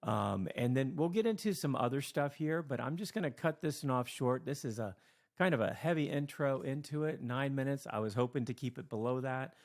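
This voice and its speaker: A tired middle-aged man and teacher, rambling with many fillers through a casual software walkthrough late at night. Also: medium pitch, expressive, fairly quick. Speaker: teacher and video creator.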